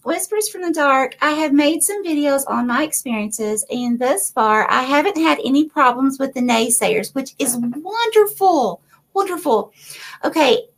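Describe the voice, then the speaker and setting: A woman hosting a live paranormal interview stream, reading viewer comments aloud and reacting to them enthusiastically. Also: sits high. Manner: enthusiastic, effusive. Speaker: host of a paranormal interview show.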